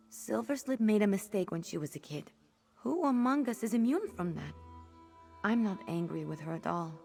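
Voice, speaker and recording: A young reformed pickpocket from a fantasy game, loyal to her friends and openly heartfelt. The delivery is expressive and earnest at a middling female pitch, with music running underneath. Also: creaky. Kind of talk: video game character dialogue.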